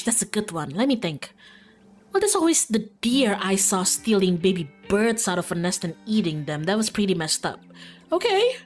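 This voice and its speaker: A woman acts out an upbeat young small-town woman in a visual novel. She rambles breezily and eagerly, with lively swings in pitch. Light music sits behind the voice. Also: close to an everyday voice.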